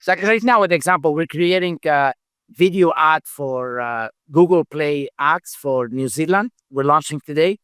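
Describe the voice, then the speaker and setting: A middle-aged man, a company founder, speaking English as a second language in a halting interview answer style, full of fillers and repeated words. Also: on the high side, a bit bright, clear, resonant tone, no echo, clean studio sound. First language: not English. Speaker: founder and CEO, podcast guest.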